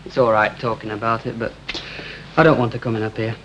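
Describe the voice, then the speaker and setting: An actor playing an awkward, evasive young student in a 1960 television drama. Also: male voice, medium pitch, level tone, quick pace, fairly bright tone, natural voice. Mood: defensive, uneasy. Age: young adult. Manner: hesitant, defensive. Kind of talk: 1960s British television drama acting. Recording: noisy 1960 television soundtrack.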